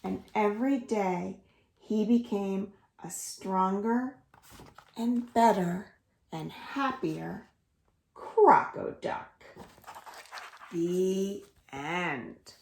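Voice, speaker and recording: A woman reading a children's story aloud in an expressive, deliberate way, as a storyteller for young children. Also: medium pitch, gravelly, a little hiss or hum. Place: indoors at home. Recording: roomy echo of a home room.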